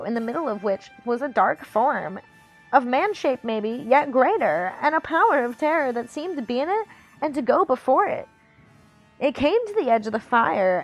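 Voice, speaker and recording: A woman's voice: on the high side, rough recording. A woman voicing a ditzy dumb blonde who dramatically reads an epic fantasy storybook aloud. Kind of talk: fantasy storybook reading in a put-on voice.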